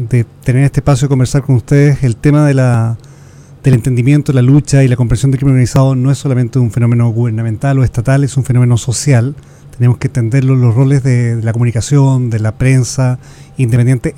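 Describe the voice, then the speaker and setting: A man's voice: mid-range voice, mellow. A Chilean man with a Chilean accent, speaking as a guest expert in an earnest, lecturing way, asking rhetorical questions and restarting words. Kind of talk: explanatory interview talk.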